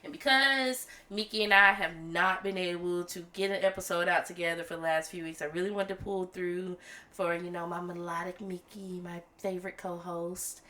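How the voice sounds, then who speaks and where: A woman's voice: lowish voice, steady tone, normal speed, pushed and bright. A congested woman co-hosting a podcast, chatting casually and laughing often, with a slightly nasal voice. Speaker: podcast co-host. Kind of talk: casual chat.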